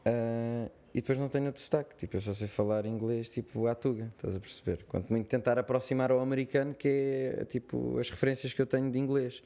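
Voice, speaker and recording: A man's voice: medium pitch, fairly even intonation, fast, hardly any pauses, mellow. A young Portuguese man, an actor, telling stories in a slangy, rambling casual interview chat with a European Portuguese accent. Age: young adult. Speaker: actor guest. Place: studio.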